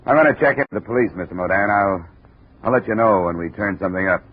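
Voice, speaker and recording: An actor playing a wry, hard-boiled American insurance investigator, dry and laconic, in a 1950s radio detective drama. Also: male voice, lowish voice, lively intonation, quick pace, lightly acted. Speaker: lead actor and narrator. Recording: muffled, hissy 1950s radio recording.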